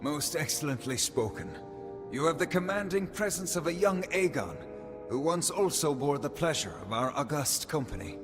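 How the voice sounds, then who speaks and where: A man's voice: a little high, lively intonation, moderate pace, close to an everyday voice. An actor playing a haughty aristocratic fae spokesman in a fantasy video game, formal, flowery and condescending. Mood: pompous, obliging. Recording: reverberant hall under loud music.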